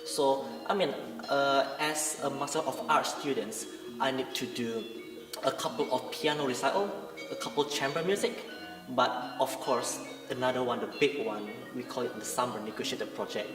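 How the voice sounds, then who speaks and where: A man's voice: mid-range voice, normal speed. A young Indonesian man, a pianist and composer, speaking earnestly and hesitantly in a pre-concert interview, often searching for words. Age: young adult. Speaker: pianist and composer, masters student. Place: large recital room. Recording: echoey room, distant mic, music behind.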